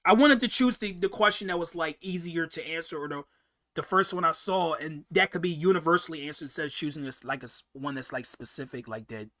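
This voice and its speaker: A man's voice: on the high side, a little fast, pushed and bright. A young man hosting a casual live-stream Q&A, answering viewer questions in a rambling way, often repeating words and restarting sentences.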